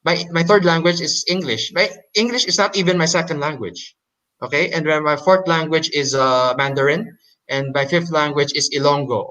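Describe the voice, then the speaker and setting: Man, hesitant presenter, non-native English, higher voice, bright and forceful tone. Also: highs cut off. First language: Hokkien Chinese. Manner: hesitant, many fillers and restarts. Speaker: debate presenter. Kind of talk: halting explanatory presentation.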